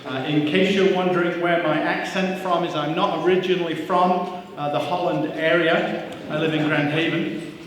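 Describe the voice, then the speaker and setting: A man's voice: a little high, fairly even intonation, moderate pace, noisy background. Upbeat man speaking in an echoey hall. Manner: upbeat, self-deprecating jokes, frequent "uh" fillers. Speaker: CEO giving a welcome speech. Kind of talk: live speech at a breakfast event. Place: church hall with audience. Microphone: handheld microphone. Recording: echoey hall, mic from a distance.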